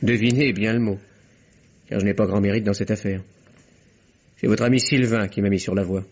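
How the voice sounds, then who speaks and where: A man's voice: on the low side, steady tone, a little fast, darkish tone. A man playing a shrewd, composed investigator in a French radio mystery drama, explaining things in an assured, matter-of-fact way. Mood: composed, explanatory. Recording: muffled lo-fi vintage radio recording.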